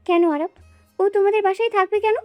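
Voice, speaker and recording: Woman playing a clingy girlfriend, extremely high-pitched. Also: fairly even intonation, a little fast, no echo, highs cut off, faint music behind. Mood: jealous, pouting. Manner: pouting, plaintive. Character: clingy, possessive, lovesick young woman. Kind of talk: melodramatic audio love-story acting.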